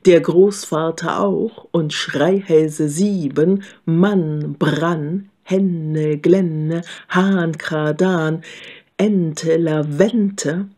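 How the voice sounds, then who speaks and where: A woman's voice: low voice, steady tone, very slow, dark tone, heavily acted. Woman as a boastful runaway pancake. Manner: chanting, boastful. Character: cheeky runaway pancake, boastful and sing-song.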